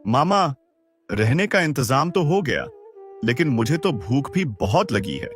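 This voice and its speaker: A man's voice: medium pitch, big pitch swings, unhurried. A man voicing a worried, respectful young village nephew in a cartoon moral tale, with a plaintive manner. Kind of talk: Hindi animated moral-story dialogue. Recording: music behind the voice.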